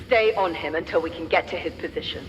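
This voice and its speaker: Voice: mid-range voice, fast talker, highs cut off, a little hiss or hum, close to an everyday voice. An actor playing an urgent, authoritative member of a knightly order in a video game. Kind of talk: cinematic video game acting.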